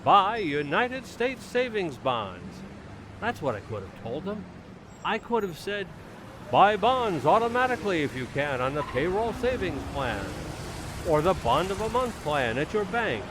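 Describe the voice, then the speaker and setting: A man's voice: sits high, very animated, unhurried, background noise, exaggerated voice. A man playing an eager, self-reproaching family man in a vintage-style radio comedy, with an earnest, theatrical and easily flustered delivery. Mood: speechifying. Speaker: community theater actor.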